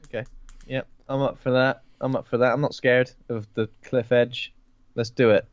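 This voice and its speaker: A man's voice: mid-range voice, flat delivery, moderate pace, clear, resonant tone, no echo, muffled recording. A man with a cold co-hosting a horror film podcast, joking and laughing as he chats, often repeating words. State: sniffly with a cold. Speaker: podcast co-host. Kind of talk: casual film podcast chat.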